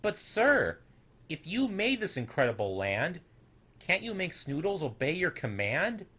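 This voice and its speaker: A timid little storybook boy creature, played by a man, pitched high and full of self-doubt. The delivery is plaintive, hesitant and innocent, with a slightly husky edge. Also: very animated, measured pace, sharp tone, heavily acted. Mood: bold questioning.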